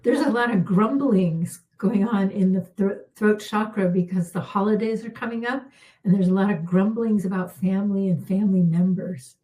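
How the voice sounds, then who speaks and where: Mellow-voiced woman leading a healing session. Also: medium pitch, low-quality recording. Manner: calm, unhurried, with fillers and soft pauses. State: slightly losing her voice. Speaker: intuitive healer hosting a live session. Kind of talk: guided spiritual healing talk.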